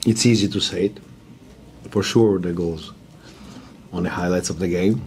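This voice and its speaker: Man, low pitch, coach at a press table, emphatic, short answers. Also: steady tone, moderate pace, a little mellow, a little hiss or hum. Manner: blunt, emphatic, broken English phrasing. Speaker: basketball coach at a press conference.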